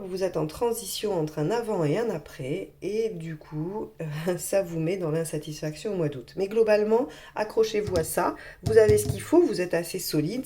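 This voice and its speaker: A woman with a hoarse voice from a cold, reading tarot cards to viewers in a gentle, reassuring way with many pauses. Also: fairly low pitch. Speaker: tarot reader.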